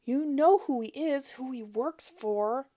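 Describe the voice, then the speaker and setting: A woman voicing a quick-witted young woman thief who talks boldly. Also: expressive, darkish tone. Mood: fake panic. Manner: bold, fakes high-pitched panic.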